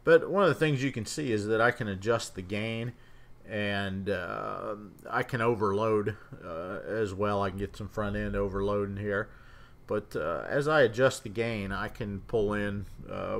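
Low, gravelly man. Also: normal speed, a little hiss or hum. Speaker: shortwave radio hobbyist presenting a demonstration. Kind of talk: casual unscripted demonstration.

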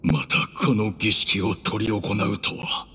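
A man's voice: on the low side, bright, forceful tone, heavily acted. An actor playing a grave elderly grandfather in a video game, weathered and solemn. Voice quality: husky, weathered. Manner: slow, solemn. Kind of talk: video game character acting. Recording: reverberant hall effect.

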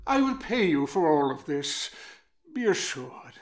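An actor playing an earnest, deluded old knight-errant in a puppet film, chivalrous and theatrical. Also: male voice, on the high side, very animated, unhurried. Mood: earnest, solemn.

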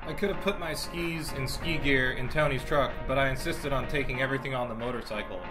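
A man's voice: mid-range voice, fairly even intonation, brisk, loud music behind the voice. A man giving a scripted vlog voice-over as the presenter. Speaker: vlogger.

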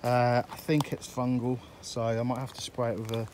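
A man's voice: medium pitch, normal speed, a little hiss or hum. A man giving a casual, rambling walkthrough of his allotment and polytunnel, filmed on a handheld phone outdoors. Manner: relaxed and rambling, with frequent uhs. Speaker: allotment gardener giving a tour. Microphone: handheld phone camera, filming while walking.

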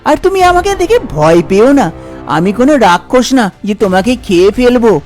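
A woman's voice: a little high, big pitch swings, normal speed, darkish tone, soft background music. A woman voicing a kindly older husband in a cartoon story, soothing and encouraging in manner. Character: kindly older husband, gentle, reassuring, devoted man. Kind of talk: cartoon story character acting.

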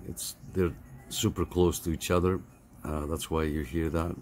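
A middle-aged man giving a casual, halting, step-by-step phone app tutorial over some background noise. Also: a bass voice, fairly even intonation. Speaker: tutorial host.

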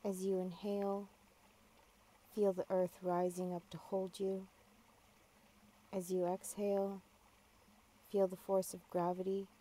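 A woman guiding a yoga nidra meditation, giving calm, measured, gentle instructions with long pauses. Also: mid-range voice, low-quality recording. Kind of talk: guided meditation, slow soothing instruction.

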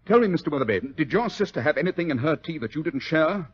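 A shrewd, coolly analytical detective, his husky voice swinging expressively as he probes. He is precise, commanding and wry, in a radio play heard on a muffled vintage recording. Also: on the high side, animated, fast. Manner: precise, incisive, questioning, wry. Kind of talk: old-time radio drama acting.

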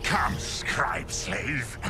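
A man's voice: mid-range voice, very animated, very slow. An actor playing a scheming, imperious ratman in a game cutscene, snarling in clipped, broken grammar. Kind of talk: villainous creature acting in a game cutscene. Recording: music under the voice. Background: music.

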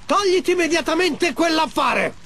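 A man voicing a protective wooden mask spirit mentor in an animated game, indignant and commanding. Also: very high voice, normal speed, heavy background noise. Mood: alarmed. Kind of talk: animated game cutscene dub.

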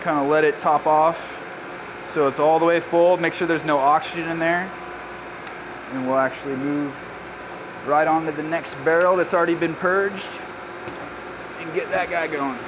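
A man in his thirties working as a brewer, explaining barrel filling in a relaxed, casual way on a brewery floor. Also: fairly high pitch, moderate pace, long pauses. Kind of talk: hands-on explanation. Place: large brewery floor with steel tanks, indoors. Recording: clear machinery and air-con noise.

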